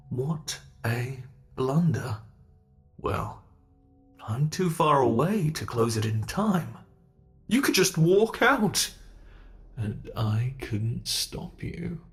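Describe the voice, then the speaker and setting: A British man voicing an ancient male monster in an ASMR horror roleplay, speaking with soft, mockingly polite menace. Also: medium pitch, lively intonation, faint music behind. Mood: teasing. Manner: slow, soft-spoken, teasing menace.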